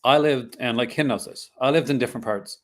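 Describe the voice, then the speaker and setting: A Canadian man hosting a casual podcast, his accent a blend of regions with a trace of Newfoundland. He wanders through his points, restarting sentences as he goes, at an ordinary middle pitch. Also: brisk, fairly bright tone. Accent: mixed Canadian, partly Newfoundland. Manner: rambling, restarts sentences often. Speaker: podcast host. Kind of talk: casual chat.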